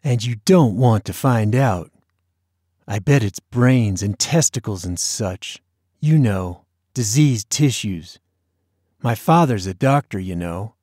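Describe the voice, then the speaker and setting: A man's voice: on the low side, expressive, slow pace, warm tone, dry recording, studio quality. A man voicing a bossy, mischievous, teasing boy in an audiobook, with a taunting, mock-dramatic manner. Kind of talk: audiobook character dialogue.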